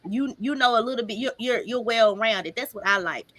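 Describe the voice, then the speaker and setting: A woman's voice: a little high, fairly quick, a bit bright. A woman on a podcast panel giving her opinions emphatically in casual, slangy conversation.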